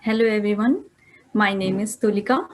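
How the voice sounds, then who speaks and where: A woman in her thirties from North India, a Hindi speaker with a North Indian accent, giving a class presentation over a video call with hesitant pauses. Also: medium pitch, a little mellow. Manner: unhurried, with hesitant fillers. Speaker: advanced ESL student. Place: home room.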